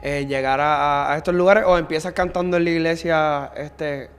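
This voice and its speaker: A man's voice: on the high side, steady tone, moderate pace, smooth. A man with a Puerto Rican accent hosting a casual interview in an informal, slangy way with frequent interjections. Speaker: interview show host.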